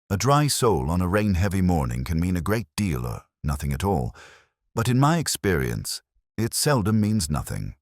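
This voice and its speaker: A very low, dry-recorded voice of a man acting as a brooding police inspector. He narrates a detective story in measured, reflective phrases. The delivery is literary and watchful, like a scripted audio drama. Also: no echo, full character voice. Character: brooding, observant English male police inspector narrating his case.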